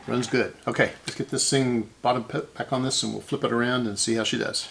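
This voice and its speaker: A man's voice: mid-range voice, steady tone, brisk, gravelly, a bit bright, husky. A man giving a casual repair demonstration, talking through each step as he works. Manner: talks through each step while working. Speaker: repair channel host.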